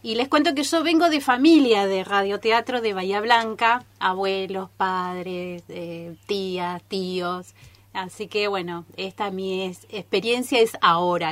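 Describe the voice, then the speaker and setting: A woman's voice: mid-range voice, unhurried, no room sound. Female guest, Argentine accent, mid-range voice, casual group interview, close dry studio sound. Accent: Argentine Spanish. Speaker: drama group member, guest. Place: radio studio.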